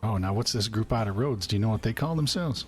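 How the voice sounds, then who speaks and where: A man's voice: fairly low pitch, animated, fast, faint music behind. A man streaming a western roleplay game, talking in a folksy, chatty way and joking about himself with viewers. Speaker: streamer playing an old cowboy character.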